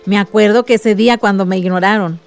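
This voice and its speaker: A woman's voice: mid-range voice, steady tone, quick pace, natural voice. A woman playing a wry, romantic village friend, telling a love story in a radio soap opera. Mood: wry, teasing.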